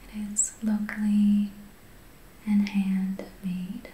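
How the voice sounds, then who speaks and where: Whispery, breathy woman doing soft ASMR. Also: mid-range voice, monotone, reverberant, a little hiss or hum, rough recording. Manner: gentle, soothing, unhurried guiding. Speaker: ASMR Reiki practitioner and host. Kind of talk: soft-spoken ASMR guided healing session.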